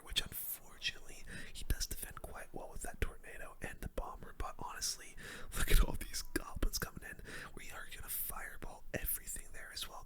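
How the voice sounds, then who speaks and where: A person whispering ASMR game commentary as host, in a breathy, unvoiced voice with casual gamer slang. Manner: casual gamer slang, addressing viewers as boys and guys. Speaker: gaming video host.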